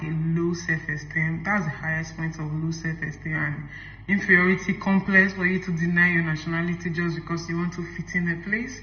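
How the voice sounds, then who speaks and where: A man with a Nigerian accent, talking casually to camera and explaining at length. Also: a little high. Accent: Nigerian English. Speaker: vlogger. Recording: echoey, dull-sounding room.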